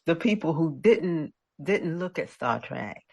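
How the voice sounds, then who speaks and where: A woman's voice: sits low, animated, unhurried, creaky, darkish tone. A woman co-hosting a casual podcast chat, retelling conversations emphatically and acting out both sides. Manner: emphatic, acts out reported dialogue. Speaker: podcast co-host.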